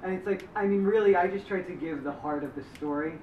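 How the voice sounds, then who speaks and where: A man's voice: high voice, fast, dull audio. A man, the memoir author and lead, answering audience questions in a rambling way, full of fillers and restarts. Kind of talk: post-screening Q&A answers. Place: community center screening room. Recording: echoey room from far off with background noise.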